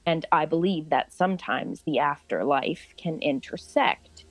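Grave spiritual lady, very low pitch, unhurried, formal, earnest, dry audio drama sound. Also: expressive, no room sound, full character voice. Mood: solemn. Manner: formal, deliberate, earnest with pauses. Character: solemn, spiritual, literal-minded woman client seeking a seance.

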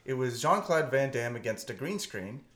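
A man of about forty in casual comic banter, telling anecdotes in an animated way and acting out the dialogue. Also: medium pitch, moderate pace. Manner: animated, acts out quoted dialogue. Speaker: comedy podcast host.

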